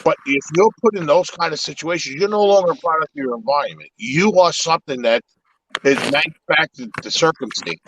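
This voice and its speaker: A man's voice: on the high side, a little fast, a bit bright, a little hiss or hum, low-quality recording. A man whose first language is Italian, talking in a casual, reflective way on a live podcast, with many restarts. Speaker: podcast host.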